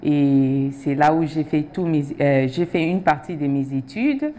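A woman in her thirties from Germany and Switzerland, native German speaker, answering interview questions softly and hesitantly in a TV studio. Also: sits low, expressive, measured pace. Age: 30s. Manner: soft-spoken, hesitant, brief answers. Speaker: foundation president, guest. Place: TV studio set.

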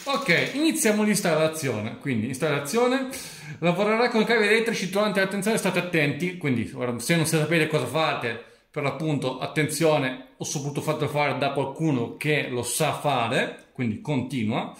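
A man's voice: a little high, lively intonation, moderate pace, a bit bright. A young man presents an informal, step-by-step product tutorial indoors. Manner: informal, instructional. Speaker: tech reviewer and tutorial presenter. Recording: roomy indoor echo.

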